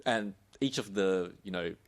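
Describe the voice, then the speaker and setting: A man podcast host casually discussing a book, explaining in loose, halting phrases with repeats. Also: low voice.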